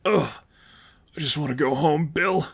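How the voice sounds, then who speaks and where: A man's voice: a little high, unhurried, creaky, a bit bright, slightly rough, voice pushed up, exaggerated voice. A man voicing a drunk, queasy young male henchman, miserable and whiny, breathing heavily and groaning, in a storybook reading.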